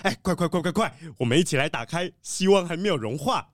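Guy as a friendly wolf diplomat, lightish voice, really animated and keen, kids audio story, faint music. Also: fairly high pitch, fast, dry recording, studio quality, natural voice. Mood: enthusiastic. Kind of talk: children's detective audio drama.

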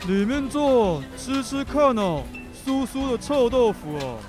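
A man's voice: very high-pitched, expressive, unhurried, music in the background. A male performer playing a welcoming night market food vendor calling out in a children's radio drama. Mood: inviting.

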